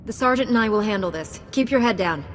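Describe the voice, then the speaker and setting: A woman's voice: mid-range voice, expressive, fast, natural voice. An actress playing a confident, dryly witty female Jedi Knight in a video game, cool and assured. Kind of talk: video game cutscene acting. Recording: echoey hall, music behind.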